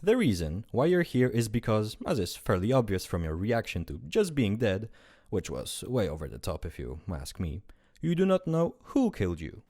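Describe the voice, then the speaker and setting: A man's voice: lowish voice, very animated, normal speed, darkish tone. A man playing a breezy, condescending afterlife closure officer in an amateur radio drama. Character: fussy, glib male afterlife closure officer.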